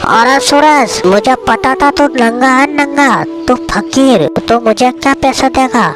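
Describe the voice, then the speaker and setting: Woman as a high-voiced bullying moneylender man. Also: unhurried. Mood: menacing, demanding. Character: bullying, demanding male village moneylender. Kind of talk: cartoon story dialogue. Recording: music behind the voice.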